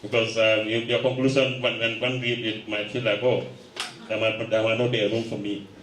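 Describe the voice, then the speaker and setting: A man's voice: on the low side, flat delivery, moderate pace, a bit bright, slight background noise. A man from the audience at a round table, asking a long question with many hesitations and restarts. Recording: echoey room, picked up from a distance.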